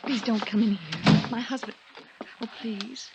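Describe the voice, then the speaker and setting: An actress playing a nervous, pleading, secretive rich wife in 1950s radio melodrama style. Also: female voice, medium pitch, unhurried, highs cut off, natural voice. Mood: alarmed, pleading. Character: anxious, secretive wealthy wife with guilty past. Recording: noisy 1950s radio recording.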